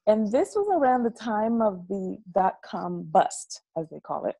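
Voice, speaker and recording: A woman from the Dominican Republic, a guest on a video call interview, telling stories in a lively, animated way and often repeating words. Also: medium pitch, measured pace, no echo. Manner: lively, animated storyteller who repeats words as she talks. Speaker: guest, business coach. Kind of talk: casual interview storytelling. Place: dorm room.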